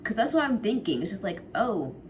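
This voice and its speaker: A woman's voice: mid-range voice, sing-song, a little fast. A woman in her late teens chatting casually to the camera in a rambling way, often laughing and trailing off. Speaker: college vlogger. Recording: noticeable background noise.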